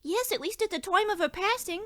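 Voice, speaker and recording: A man's voice: extremely high pitch, lively intonation, brisk, pushed and bright, full character voice. A man voicing a demure young woman client, coy and primly gossipy, in a parody of 1940s radio detective drama. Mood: coy, confiding.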